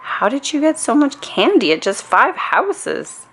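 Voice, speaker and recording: A cheeky little storybook boy, acted out by a woman, with a raised pitch that bounces around. He sounds childlike, confident and insistent. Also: sing-song, unhurried, fairly bright tone, husky, exaggerated voice, low-quality recording. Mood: matter-of-fact, cheeky.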